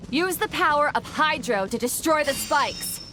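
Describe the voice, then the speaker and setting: A woman playing a stern, composed warrior master in a video game, speaking in a formal, commanding and measured way. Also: sits high, lively intonation, background noise. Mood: urgent, commanding. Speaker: video game character, combat mentor. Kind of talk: scripted video game voice acting.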